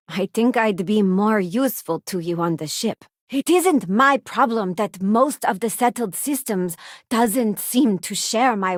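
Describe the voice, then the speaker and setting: An actress voicing a sincere, principled young female space ranger and crew member in a video game, with a measured, plain-spoken delivery. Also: medium pitch, no room sound, studio quality. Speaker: voice actress playing a game companion. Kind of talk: scripted video game voice lines.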